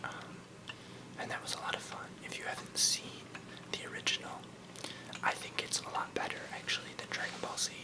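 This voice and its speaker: A young adult ASMR host speaking in a soft, breathy whisper, rambling casually in a hesitant, unhurried way. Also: rough recording.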